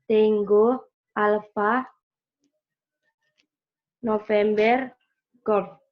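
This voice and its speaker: Siswi yang mengeja sangat lambat lewat sambungan buram. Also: female voice, medium pitch, steady tone, highs cut off. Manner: spells slowly, word by word. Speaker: student.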